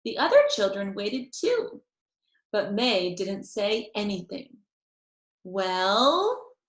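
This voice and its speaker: A woman reading a children's chapter book aloud in an expressive, deliberate storytelling manner. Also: medium pitch. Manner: animated, deliberate storytelling with drawn-out phrases. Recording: roomy, echoey sound.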